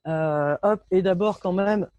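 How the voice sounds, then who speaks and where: French woman, thirties, mellow timbre, dry sound, informal lecturer, halting, self-correcting. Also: fairly low pitch, dry recording, highs cut off. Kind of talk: informal technical presentation in an online meeting. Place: indoors on a video call.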